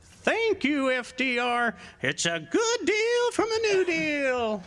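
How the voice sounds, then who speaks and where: A performer putting on a high, swooping folksy old retiree. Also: big pitch swings, slow, noisy background, exaggerated voice. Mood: pleased, folksy. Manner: folksy, exclamatory. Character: folksy old retiree pleased with Social Security. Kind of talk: old-time radio skit. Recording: live amphitheater stage show with music.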